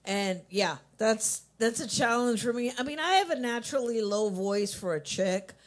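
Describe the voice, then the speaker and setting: A woman's voice: fairly high pitch, animated, normal speed. Chatty woman hosting an open mic. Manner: chatty, rambling with restarts. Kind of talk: casual chat. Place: coffee shop open mic.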